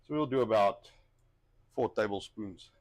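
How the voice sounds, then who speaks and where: A man's voice: on the low side, a little slow. A man hosting a casual cooking livestream, rambling and repeating himself while getting over a cough with a wheezy chest. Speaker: host of a cooking show.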